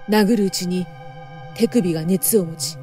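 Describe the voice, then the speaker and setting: A woman performing a first-person horror story narration in a theatrical, intense manner, moving between hushed and emphatic delivery. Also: on the low side, unhurried, soft background music.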